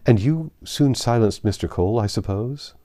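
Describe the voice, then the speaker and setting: A playful, opinionated young lady of a classic novel, as a male narrator puts her on. The voice is mellow and swoops with arch emphasis, keeping formal, genteel phrasing. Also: on the low side, lively intonation, normal speed, dry recording, studio quality, voice pushed up, natural voice. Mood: wry, indignant.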